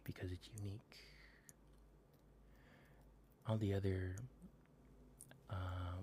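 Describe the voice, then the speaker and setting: A man whispering softly as he chats with viewers on a late-night ASMR stream. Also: deep voice, slight background noise. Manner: soft whisper, slow and drawn out. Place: home at night.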